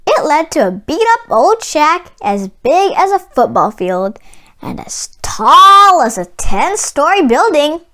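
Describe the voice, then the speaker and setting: A woman narrating a children's storybook read-aloud in an expressive storytelling manner. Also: sits high, takes it slowly. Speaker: storybook reader.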